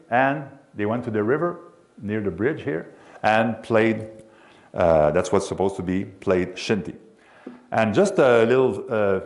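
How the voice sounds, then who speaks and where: A man with a Quebec French accent, a local sports announcer giving an informal history talk in English, pausing often with fillers as he searches for words. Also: on the low side. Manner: halting, many fillers and restarts while searching for English words.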